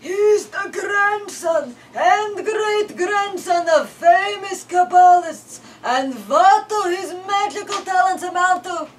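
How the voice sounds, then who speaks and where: A woman voicing a scornful old grandfather in a storybook reading, exclaiming loudly. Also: extremely high pitch, takes it slowly, hardly any pauses, recorded from a distance, slight background noise, voice pushed up. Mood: scornful, exasperated.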